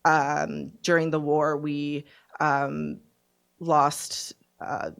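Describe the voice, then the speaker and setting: A woman in her twenties giving personal testimony at a panel discussion, speaking in a halting, deliberate, reflective way. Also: low-pitched, level tone, gravelly. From born in Serbia, raised from infancy in Canada. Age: 20s. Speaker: panelist, refugee survivor. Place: conference event, speaking on a panel.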